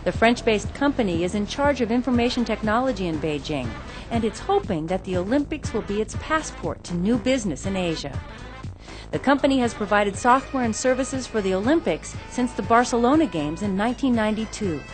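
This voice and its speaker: Woman reporter, lively news narration. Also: mid-range voice. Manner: clear, measured delivery. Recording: voice-over with music bed.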